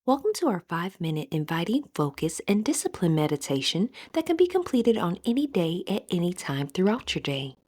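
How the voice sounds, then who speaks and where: A woman leading a scripted guided meditation in a soothing, measured manner. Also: on the low side, big pitch swings, darkish tone, husky.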